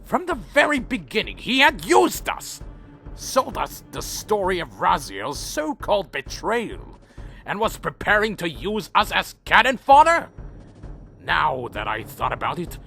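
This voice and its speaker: Guy doing a proud winged vampire narrating audio drama, earnest, higher pitched, faint music under him. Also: huge pitch swings, unhurried, creaky, pushed and bright, heavily acted. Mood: betrayed. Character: proud, indignant winged vampire leader, troubled and defiant. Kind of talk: first-person scripted narration and audio drama acting.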